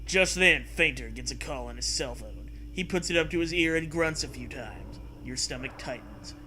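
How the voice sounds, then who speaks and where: Male co-host for a comic read-aloud: sharp, very lively delivery, excitable and foul-mouthed, slipping into casual banter. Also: medium pitch, moderate pace, a little hiss or hum, poor audio. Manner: profane, excitable. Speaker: co-host reading a comic story.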